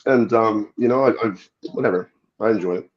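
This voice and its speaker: A man's voice: on the low side, fairly quick, highs cut off. A man appearing as a guest demonologist, explaining his views earnestly and thoughtfully. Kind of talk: casual interview talk.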